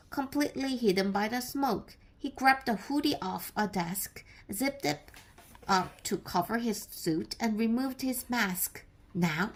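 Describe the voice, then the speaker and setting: A woman reading a children's story aloud as scripted narration, with deliberate, expressive storytelling. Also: on the high side. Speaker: storybook reader.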